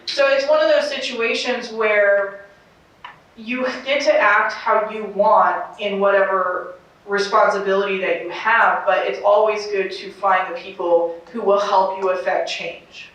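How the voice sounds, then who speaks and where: A woman's voice: medium pitch, steady tone, unhurried, fairly bright tone. A woman speaking on a convention panel in a confident, playful way. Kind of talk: casual panel discussion. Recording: dull echoey hall from far off.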